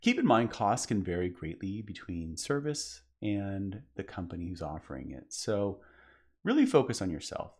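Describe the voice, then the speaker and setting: Guy with a deep voice doing a business talk, chatty, lots of uh and um. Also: very animated, normal speed, a little mellow, breathy edge. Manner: casual, explanatory, frequent fillers. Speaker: conference presenter. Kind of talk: business presentation.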